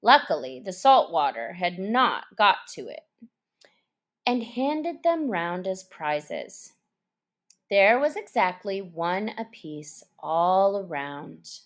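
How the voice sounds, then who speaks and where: A woman reading a children's story aloud as an expressive audiobook narrator. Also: fairly low pitch, slow pace. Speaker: storyteller, reader.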